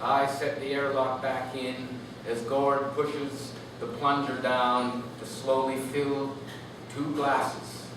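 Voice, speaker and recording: In a very reverberant room, away from the mic with noise behind him, a man reads his literary work aloud. His delivery is lyrical and animated, with deliberate, expressive phrasing at a middling pitch. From Canada. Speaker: author reading own work. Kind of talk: expressive literary reading to an audience. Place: live reading event in a room. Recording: echoey noisy room, distant mic.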